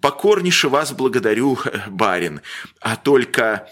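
A man voicing a poor old organ grinder who speaks slowly with quiet dignity. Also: big pitch swings. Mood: dignified. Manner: slow, dignified, low mutter. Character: poor old male organ grinder, humble but proud.

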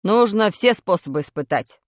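A woman's voice: mid-range voice, lively intonation, moderate pace, no echo, clean studio sound, pitched below the performer's own voice, natural voice. A woman voicing a brash schoolboy schemer in a children's story, insistent and self-justifying. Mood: eager, commanding. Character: brash, clumsy, excuse-making schoolboy schemer.

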